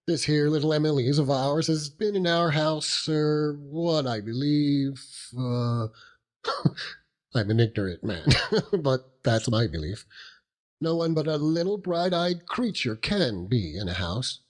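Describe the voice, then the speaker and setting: Hearty old country seaman, chuckling, overjoyed, lively pitch, unhurried audiobook character. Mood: tender, proud. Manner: chuckling, rambling, dialect-heavy storytelling with exclamations. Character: hearty old working-class seaman uncle, doting and overjoyed.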